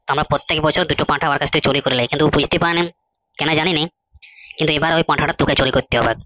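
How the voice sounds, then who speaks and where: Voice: medium pitch, steady tone, racing, pushed and bright, no echo. A performer voicing a crude, scheming village club drinker with coarse colloquial talk in a comedy cartoon. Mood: conspiratorial.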